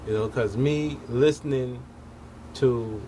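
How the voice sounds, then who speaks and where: A man talking casually as a guest in a discussion, halting and often tagging phrases with filler. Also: medium pitch, mellow. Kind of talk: casual conversational talk. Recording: noticeable background noise, room ambience.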